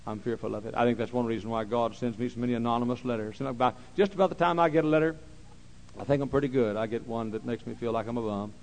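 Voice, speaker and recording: A man preaching a sermon, repeating phrases and swinging from quiet, slow talk to loud shouting. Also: mid-range voice, fairly even intonation, rough recording.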